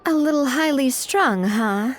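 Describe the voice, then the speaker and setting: A woman playing a confident, friendly young woman in a video game, talking in a breezy, expressive, conversational way. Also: high voice, a little slow. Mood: wry.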